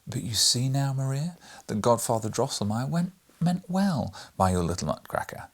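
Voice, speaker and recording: A serious storybook mother, put on by a narrator, in a lifted, breathy voice. She sounds concerned and polite, gently reproving in a formal manner. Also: mid-range voice, expressive, normal speed, pitched above the performer's own voice. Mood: gentle.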